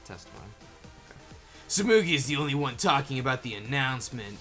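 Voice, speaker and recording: A man's voice: mid-range voice, big pitch swings, fast talker, a bit bright, soft background music. A man casually hosting a video game playthrough, reading out lines as he plays. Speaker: gameplay host.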